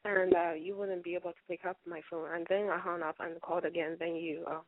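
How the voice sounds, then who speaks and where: A woman from China calling in to a radio show, hoarse from bronchitis, hesitant with many fillers. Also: on the low side, level tone, fast, dry recording. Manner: hesitant, filler-heavy, giggly. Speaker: caller. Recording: tinny phone line, dry.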